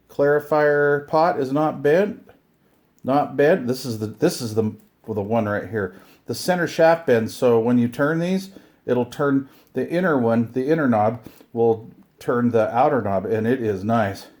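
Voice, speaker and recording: A man's voice: mid-range voice, a little mellow. Middle-aged male host for casual unboxing videos; mid-range voice, rambling, chatty delivery with self-deprecating grumbles. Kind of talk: casual unboxing chat to camera.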